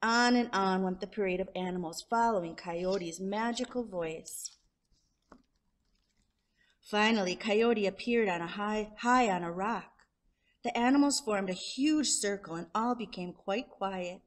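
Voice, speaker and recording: A woman's voice: medium pitch, a little slow. A woman storyteller reading a children's picture book aloud expressively, drawing out descriptive words. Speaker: storyteller, show host.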